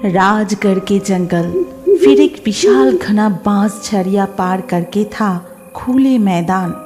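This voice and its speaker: A woman's voice: medium pitch, darkish tone. A woman narrating a scripted cartoon horror story in a dramatic storytelling manner.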